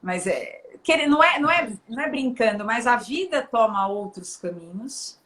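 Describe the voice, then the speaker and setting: Lively woman with a raised voice. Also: on the high side, moderate pace. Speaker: live stream guest. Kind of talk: casual chat.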